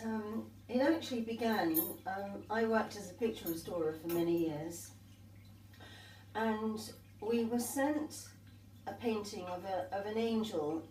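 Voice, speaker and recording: An older woman, an artist, telling her story in a rambling, reminiscing way at a tea table in a glass conservatory. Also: medium pitch. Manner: rambling, warm reminiscing with restarts. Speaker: artist and guest. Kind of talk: conversational storytelling. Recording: echoey room, distant mic, faint hiss.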